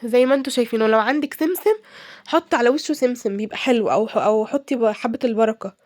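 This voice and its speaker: Casting: young Egyptian woman cooking vlogger, stuffy from a cold, brisk and chatty, dry close sound. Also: fairly high pitch, a little mellow, no echo. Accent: Egyptian Arabic. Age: young adult. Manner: chatty, many fillers and restarts. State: congested from a cold. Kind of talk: casual advice while cooking.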